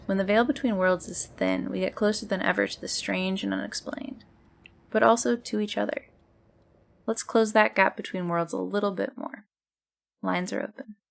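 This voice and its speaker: A woman playing a mysterious, tender host of an otherworldly late-night radio show, speaking in a measured, cryptic, intimate monologue. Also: medium pitch, steady tone, gravelly, a little hiss or hum, close to an everyday voice. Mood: inviting, wry. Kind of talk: scripted monologue in a fiction podcast styled as radio.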